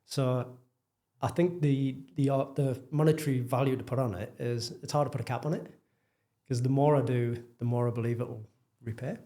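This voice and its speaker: A man's voice: medium pitch, steady tone, fairly quick, mellow. A man with a Geordie accent, a property developer talking candidly and self-deprecatingly as a podcast guest. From northeast England. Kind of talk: casual interview chat.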